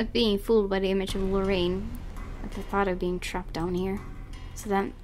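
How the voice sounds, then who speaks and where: A woman's voice: mid-range voice, flat delivery, normal speed, faint music behind. A young woman playing a game, reading its text aloud and reacting casually with giggles and exclamations. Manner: giggly, exclamatory, self-correcting. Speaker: let's player.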